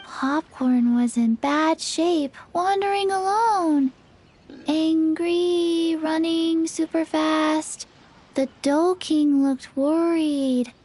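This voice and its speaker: Very slow-talking, quiet little child. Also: female voice, high-pitched, steady tone. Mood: earnest. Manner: terse, simple short phrases. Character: quiet, earnest little child of few words. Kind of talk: video game voice acting. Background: faint children's game music.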